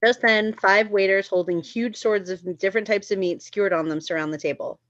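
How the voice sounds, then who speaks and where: A woman's voice: medium pitch, steady tone, brisk, a bit bright, no echo, dull audio. A woman reading screenplay stage directions aloud as the narrator of a table read.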